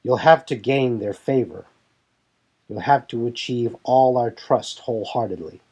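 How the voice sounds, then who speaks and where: A man voicing a measured, authoritative young military leader in an audio drama. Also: medium pitch, a little mellow, slightly rough. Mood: measured, weary. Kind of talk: audio drama character acting. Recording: music bed behind the voice.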